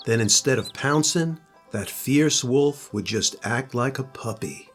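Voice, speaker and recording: A man's voice: medium pitch, a little slow, a bit bright, soft background music. An older man, a grandfather, narrating a children's story.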